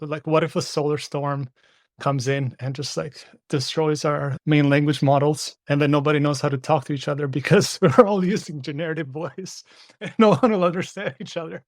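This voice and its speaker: Un hombre que participa como invitado en un panel informal y defiende sus opiniones con rapidez. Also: male voice, a little high, no echo, studio quality. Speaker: panel guest. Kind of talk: casual panel discussion.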